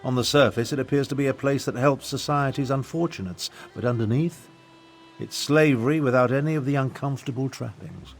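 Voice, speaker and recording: A man's voice: mid-range voice, a little fast, faint music behind, close to an everyday voice. A man playing an eloquent, indignant royal half-brother in a video game, speaking in a formal, articulate, theatrical manner. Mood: bitter, indignant. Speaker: guide. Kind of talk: scripted video game dialogue.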